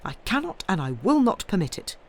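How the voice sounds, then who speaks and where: A woman's voice: medium pitch, big pitch swings, fairly quick. A woman voicing an imperious, indignant lady of the house in a novel, speaking formally and commandingly.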